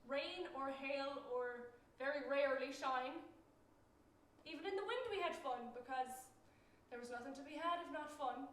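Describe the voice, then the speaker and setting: A woman's voice: high-pitched, moderate pace, fairly bright tone, slight background noise. A young Irish woman performing a reflective, reminiscing monologue on a stage, picked up from a distance. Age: young adult. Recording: very echoey, from far off, faint music.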